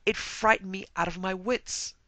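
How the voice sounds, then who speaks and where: A man's voice: sits high, steady tone, moderate pace, pushed and bright, dry recording, voice pushed up. A man voicing a sobbing, agitated, indignant married woman at a party in a dramatic story reading. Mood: tearful indignation. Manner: weeping, breathless, agitated.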